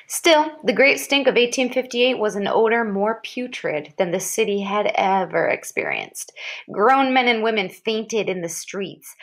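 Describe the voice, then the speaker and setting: A woman reading an informational article aloud expressively, like a teacher, leaning on and drawing out key descriptive words. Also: medium pitch.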